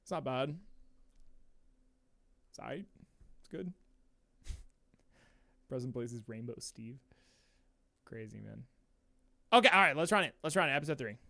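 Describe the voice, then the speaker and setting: A man's voice: medium pitch, animated, a little slow, creaky, pauses often, bright, forceful tone, no echo. A man in his twenties hosting a livestream watch party, chatting casually in slang and laughing often, with a sniffly voice. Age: 20s.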